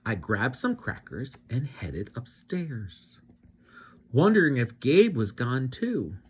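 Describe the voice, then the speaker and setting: A man narrates a children's story with very lively, swooping pitch. He takes his time, reading dramatically to build suspense. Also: slow pace, slightly rough. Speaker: read-aloud teacher narrating. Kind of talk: children's storybook read-aloud.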